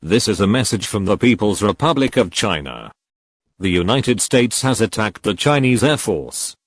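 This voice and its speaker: A man's voice: on the low side, no room sound. A man reading a scripted official government message, formal and stern.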